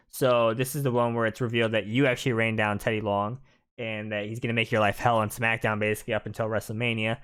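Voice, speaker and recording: Man, let's-play host, casual, conversational, rambling, mid-pitched. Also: a bit bright, clear, resonant tone. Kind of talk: casual game commentary.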